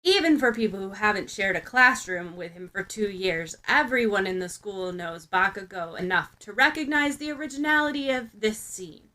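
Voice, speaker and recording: A woman reading fiction aloud as an expressive podfic narrator. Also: on the high side, poor audio.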